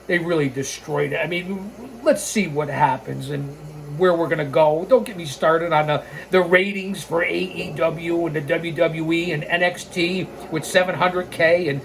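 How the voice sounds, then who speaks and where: A host of a wrestling storytelling show speaks in a mid-pitched voice over audible background noise. The delivery moves between scripted narration and informal commentary. The manner is opinionated, blunt and sarcastic, with profanity in the asides. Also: vocal fry, a bit bright. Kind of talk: reading a scripted biography with ranting, informal asides.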